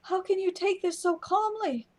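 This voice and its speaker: A woman playing a wry, weary companion in a radio play, speaking in a deliberate way. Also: very high-pitched. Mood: anxious, despairing. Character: unhurried, matter-of-fact companion, wry and weary.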